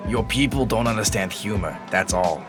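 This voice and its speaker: A man's voice: fairly low pitch, moderate pace, close to an everyday voice. A man acting a foul-mouthed, teasing police colleague in casual audio drama banter, drawling and crude. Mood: amused, teasing. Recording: music behind the voice.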